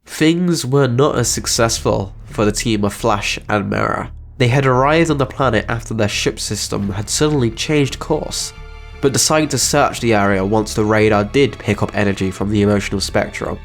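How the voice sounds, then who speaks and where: A man's voice: fairly low pitch, normal speed, vocal fry, soft background music. A man giving scripted narration as the narrator and creator of a story channel.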